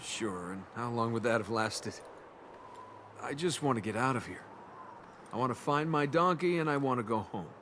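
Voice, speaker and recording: Lively, wisecracking young adventurer played by an actor. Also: male voice, mid-range voice, normal speed, slight background noise, natural voice. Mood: sardonic. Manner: casual, sardonic quips. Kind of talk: video game voice acting.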